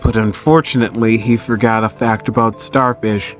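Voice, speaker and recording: A man hosting a recap in casual commentary, telling the story in an animated, conversational way. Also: on the low side, moderate pace, soft background music. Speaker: host of a comic book recap channel.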